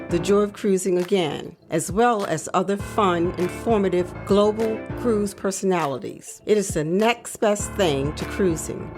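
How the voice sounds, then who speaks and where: Woman announcer over music. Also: lowish voice. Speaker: podcast announcer. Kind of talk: scripted show intro.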